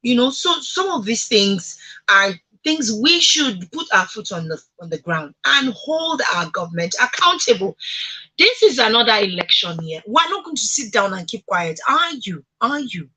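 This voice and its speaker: Bright, forceful Nigerian woman on talk radio. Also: a little high, big pitch swings, moderate pace, slightly rough, rough recording. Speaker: radio talk show host. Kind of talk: impassioned radio discussion.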